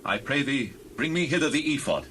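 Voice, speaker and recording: An actor playing an earnest, devout Hebrew warrior leader, speaking formally in dramatized scripture. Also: male voice, medium pitch, animated, fairly quick, a bit bright, a little hiss or hum, close to an everyday voice. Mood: prayerful. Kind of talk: dramatized scripture reading, King James English.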